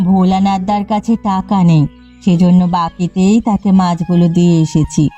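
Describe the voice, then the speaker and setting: Cartoon village elder sister, played by a woman: kind, firm fisherwoman, mellow tone, music underneath. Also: on the low side, fairly even intonation, moderate pace, natural voice. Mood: firm, mildly annoyed. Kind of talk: Bengali cartoon dialogue. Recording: slightly muffled audio with background music. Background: background music.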